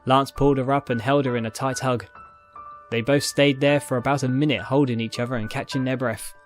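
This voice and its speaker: A man's voice: medium pitch, steady tone, fairly quick, faint music behind. A man reading fiction aloud as narrator, in scripted dramatic storytelling style. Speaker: narrator, fan fiction reader.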